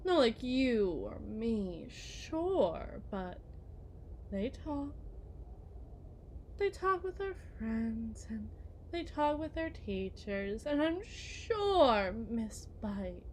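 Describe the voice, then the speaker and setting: A woman's voice: a little high, very animated, very slow, a little mellow, a little hiss or hum, full character voice. A woman playing a genteel, possessive kidnapper wife in a theatrical ASMR roleplay, condescending and syrupy sweet with veiled menace. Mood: condescending, insinuating. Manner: mannered, condescending, syrupy endearments, veiled threats.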